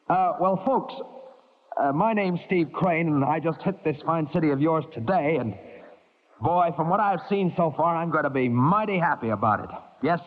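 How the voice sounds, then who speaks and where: An actor playing a pushy newcomer who poses as an earnest volunteer, talking in a folksy, hesitant stammer in an old radio drama. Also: male voice, on the high side, lightly acted. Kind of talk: 1940s-50s radio drama acting. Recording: muffled, narrow old-time radio recording.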